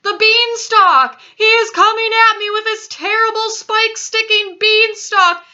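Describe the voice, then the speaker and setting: A huge man-eating giant from a children's storybook acted out by a woman, wailing in panic at a very high pitch. The cries are loud, drawn out and piercingly sharp, on a slightly dull recording. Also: fairly even intonation, exaggerated voice. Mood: begging, wailing. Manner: bellowing, screeching, drawn-out wailing pleas. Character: huge brutish male giant, man-eater screaming in terror.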